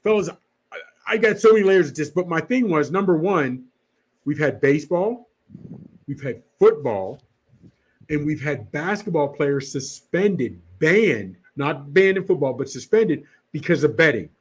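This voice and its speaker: A man in a casual sports podcast, telling stories and firing off rhetorical questions in an animated way. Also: on the high side, a little slow, breathy edge.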